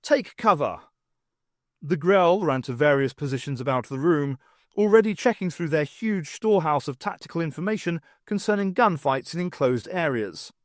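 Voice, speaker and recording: Expressive male audiobook narrator, dry sound. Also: fairly high pitch, normal speed, no room sound. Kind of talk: dramatic scripted narration of fiction.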